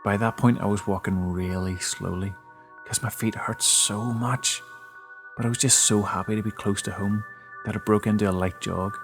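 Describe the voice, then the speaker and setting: A man giving a dramatic first-person narration of a scary story, with halting, emotive delivery. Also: fairly low pitch, steady tone. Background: background music.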